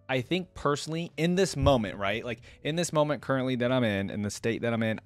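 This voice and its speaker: A man's voice: medium pitch, no echo. A young man giving casual, rambling reaction commentary as a video host, close on a desk microphone. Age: young adult. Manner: rambling, with frequent "like" and "right?". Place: indoors at a desk, gaming setup.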